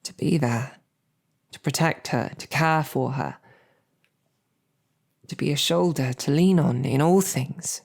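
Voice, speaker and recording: A woman playing a lovestruck royal knight in a romantic fantasy audio roleplay, sweetly awkward, halting and playfully snarky. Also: low-pitched, creaky, darkish tone, heavily acted. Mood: earnest, tender. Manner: halting, flustered, rambling.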